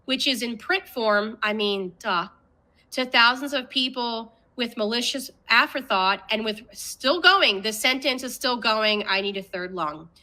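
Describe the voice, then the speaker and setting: A woman's voice: on the high side, measured pace, bright, forceful tone. A woman reading a document aloud in an emphatic, theatrical way with jokey casual asides.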